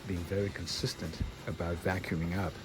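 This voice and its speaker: A man's voice: sits low, little pitch movement, brisk, background noise. A man narrating his own DIY build in a casual, candid, informal way, like a vlogger.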